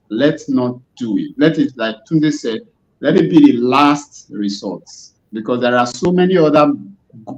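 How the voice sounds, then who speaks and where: A man casually hosts and moderates a live discussion over an online video call. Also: mid-range voice. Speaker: host. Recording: dull, lo-fi internet call audio.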